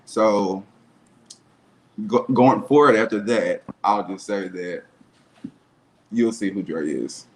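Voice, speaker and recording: A man's voice: on the low side, takes it slowly, long pauses. A man in his twenties being interviewed, chatting casually in a candid, self-assured way. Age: 20s. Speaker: web-series cast member being interviewed. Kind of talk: casual chat.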